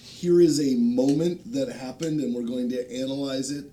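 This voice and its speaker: A man with a soft-edged, mellow voice at a middle pitch talks casually as a panel guest. He feels his way through sentences with ums and pauses. A low rumble of noise sits behind him. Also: steady tone. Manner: hesitant, halting delivery with frequent ums and long pauses. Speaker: guest, game designer. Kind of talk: casual panel chat, recommending video channels.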